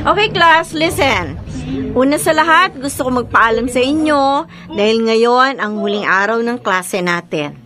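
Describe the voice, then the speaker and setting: A woman's voice: a little high, expressive, unhurried, loud music behind the voice. A woman playing an upbeat college teacher addressing her class in a radio drama. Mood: cheerful.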